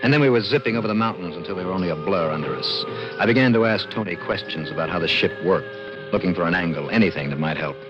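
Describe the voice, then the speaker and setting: A man's voice: low voice, expressive, fast talker. An actor in an old radio drama playing a wary, plain-spoken, folksy man who narrates his encounter and questions a visitor. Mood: tense storytelling. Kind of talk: 1940s-50s radio drama acting and narration. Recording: muffled vintage radio recording, music behind.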